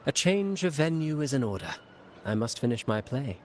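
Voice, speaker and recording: A theatrical male playwright, voiced by an actor for a game. His voice sits in a middle register and he spins dark tales with teasing, dramatic relish. Faint ambient noise hums underneath. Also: medium pitch, big pitch swings, moderate pace, lightly acted. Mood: sly. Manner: dramatic, teasing, mysterious storytelling. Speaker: game character. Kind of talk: game cutscene voice acting.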